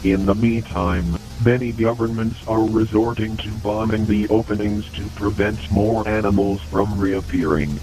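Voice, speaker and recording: A man voicing a grave emergency news announcer in an analog horror video, reading a scripted bulletin in a formal, measured, deadpan way. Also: on the low side, music in the background, close to an everyday voice, rough recording.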